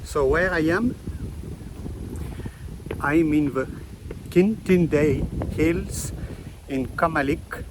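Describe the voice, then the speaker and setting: A man with a Belgian accent records a travel vlog outdoors among rural jungle hills. His voice sits slightly high and he talks slowly, eager and chatty in halting non-native English. Faint outdoor ambience hangs in the background. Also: background noise. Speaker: travel vlogger. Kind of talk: casual travel vlog talk to camera.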